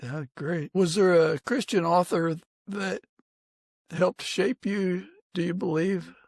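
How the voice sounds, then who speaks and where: Male podcast interviewer, slightly high and creaky, conversational, recorded dry. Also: fairly even intonation, slow, darkish tone, no echo.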